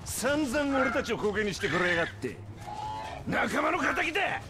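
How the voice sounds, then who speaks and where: A man playing a menacing, vengeful bandit thug in a video game, snarling coarsely in a gravelly voice. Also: very high voice, very animated, moderate pace. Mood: gloating menace. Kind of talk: dramatic video game voice acting. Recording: loud music behind the voice.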